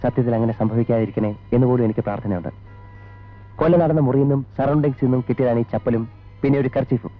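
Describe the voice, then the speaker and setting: An actor playing a stern, methodical police investigator in an old Malayalam film, formal and insistent. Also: male voice, medium pitch, steady tone, rapid-fire, close to an everyday voice. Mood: insistent, pressing. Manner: formal, insistent, mixing in English words. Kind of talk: 1980s Malayalam film acting. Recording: dull old film soundtrack with music.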